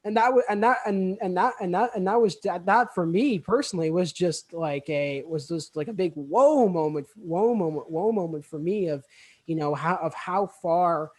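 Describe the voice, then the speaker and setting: A man's voice: high-pitched, normal speed. A young man hosting a student podcast casually, stammering and repeating words as he goes. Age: young adult.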